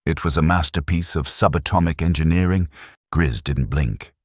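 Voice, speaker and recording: A man narrating a science fiction audiobook in a dramatic, measured delivery. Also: rumbling low, husky, dry recording, studio quality.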